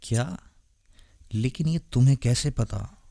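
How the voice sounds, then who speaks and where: A man's voice: medium pitch, a little slow, vocal fry, dark tone, slight background noise. A man playing a naive, intense and protective young vampire boyfriend in a romantic ASMR audio drama, earnest and dramatic. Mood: baffled, suspicious. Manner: earnest, dramatic, puzzled questioning.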